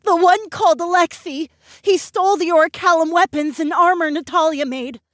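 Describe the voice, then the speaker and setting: A terrified young fox girl from a storybook, her voice pitched very high and trembling with tears and breathlessness. She pleads in a dry, close recording, with a man acting her out. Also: steady tone, normal speed, fairly bright tone, no room sound. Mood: tearful.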